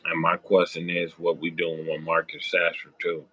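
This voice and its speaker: A young man with a sick, hoarse, raspy voice, rambling through casual sports talk with lots of ums and slang. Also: monotone, moderate pace, sharp tone, dry recording. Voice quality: deep raspy voice. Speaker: sports podcast host.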